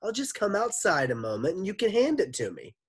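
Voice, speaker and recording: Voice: medium pitch, wildly swooping pitch, brisk, breathy edge, pitched above the performer's own voice. A storybook reader voicing a mean, fussy male substitute teacher who speaks with strained, evasive politeness. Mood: polite, impatient.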